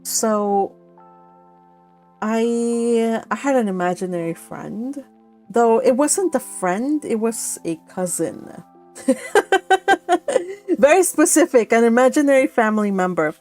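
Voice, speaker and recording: A woman's voice: on the high side, expressive, slow pace, long pauses, darkish tone, faint music behind. A young woman from Mexico hosting a book club, chatty and laughing often, with lots of fillers. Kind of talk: casual chat and reading aloud.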